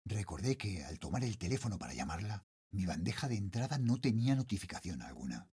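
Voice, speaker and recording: Casting: anxious father working night security, Spanish accent from Spain, low register, highly expressive intonation, horror audio fiction. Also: fairly quick, husky, heavily acted. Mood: worried, puzzled. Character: worried father, a night security guard narrating a horror tale. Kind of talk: scripted first-person horror audio drama narration.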